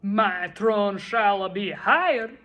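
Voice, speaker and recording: A woman's voice: mid-range voice, takes it slowly, fairly bright tone. A woman voicing a pompous, tyrannical male turtle king in a storybook read-aloud, bellowing and boasting imperiously. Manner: bellowing, imperious, self-admiring.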